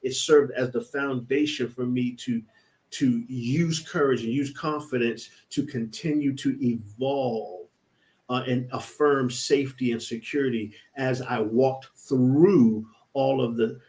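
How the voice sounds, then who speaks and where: A man's voice: medium pitch, unhurried, darkish tone. This man talks as a reverend in a mid-range voice, earnest and searching. He circles back on his words while he thinks aloud. The delivery is reflective conversation, not a sermon. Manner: earnest and searching, repeats words as he gathers thoughts.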